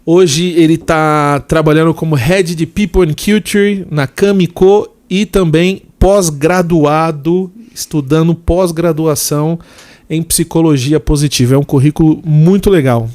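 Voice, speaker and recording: A man's voice: on the high side, steady tone. A man hosting a podcast, introducing his guest in an effusive, chatty way. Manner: warm and effusive, quick asides. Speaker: podcast host. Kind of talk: casual interview chat.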